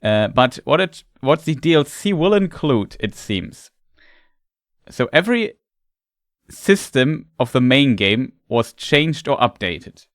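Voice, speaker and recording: A man's voice: mid-range voice, big pitch swings, slow pace, fairly bright tone, no room sound, clean studio sound. A young man with a German accent, a German native speaker, chatting casually with his stream chat and sometimes searching for words. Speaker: streamer reacting to a showcase.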